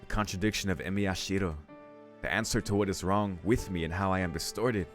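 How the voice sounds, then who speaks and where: A man's voice: low-pitched, fairly quick, soft background music. A man reading visual novel narration aloud dramatically as a let's play host.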